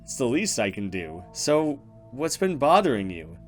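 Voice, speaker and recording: A man's voice: mid-range voice, sing-song, unhurried, soft background music. Man reading as a playful, loyal young woman. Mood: reassuring. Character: young woman, loyal teasing friend in horror story. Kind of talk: visual novel dialogue read aloud.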